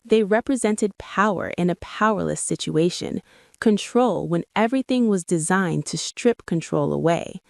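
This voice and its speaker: Female storyteller, scripted dramatic narration, lowish register, close dry recording. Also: normal speed, creaky, no room sound.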